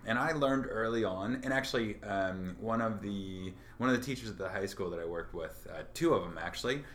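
A man working as a school principal, chatting casually as interviewer, lively and self-deprecating with lots of fillers. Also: on the low side, fairly quick, slight background noise.

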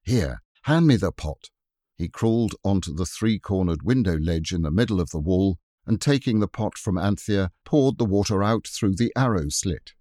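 A man narrating a children's classic audiobook in an expressive, measured storytelling manner. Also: low-pitched, no echo, clean studio sound. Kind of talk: scripted narration.

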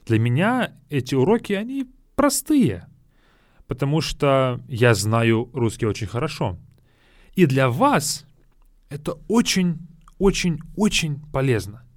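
A man who is a native Russian speaker, hosting a podcast for learners, speaking slowly and repeating words to explain them. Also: medium pitch, big pitch swings, no echo, clean studio sound. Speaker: Russian teacher and podcast host. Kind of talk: slow teaching podcast, Russian with English glosses.

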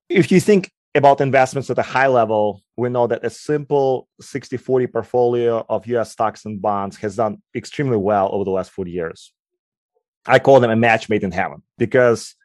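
Measured man with a Ukrainian accent. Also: mid-range voice, no room sound. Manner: measured, explanatory, occasional "uh". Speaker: guest, quantitative researcher. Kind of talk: interview answers.

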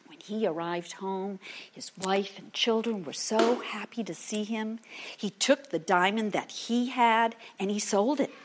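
A woman telling a folktale aloud in a dramatic manner, sometimes hushed for suspense. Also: medium pitch, a little slow, slight background noise. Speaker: storyteller. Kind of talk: oral folktale storytelling to an audience.

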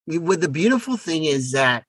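A man talks casually as a podcast co-host in a somewhat high voice. His intonation moves up and down a great deal, and he sounds self-assured and emphatic, repeating words for stress. The sound is close and dry. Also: sing-song, normal speed, dry recording. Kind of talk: casual conversational chat.

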